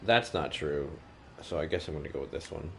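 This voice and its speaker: A man's voice: extremely low pitch, lively intonation, brisk, creaky, a little hiss or hum. A man doing casual live commentary as a game streamer, muttering informal reactions.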